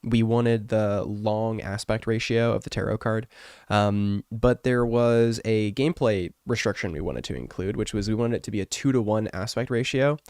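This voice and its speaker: A man with a fairly low voice, made rough and congested by a cold he is getting over. He talks to his stream in a loose, rambling way, full of fillers. The sound is dry and close, with no room around it. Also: moderate pace, a little mellow, no room sound. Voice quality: rough, wrecked voice. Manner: rambling and chatty, with many fillers. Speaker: artist and streamer. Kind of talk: casual live-stream chat.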